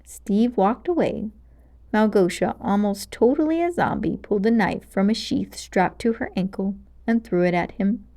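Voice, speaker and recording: A woman narrating a children's storybook aloud in an expressive, dramatic and clearly paced way. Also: mid-range voice, normal speed.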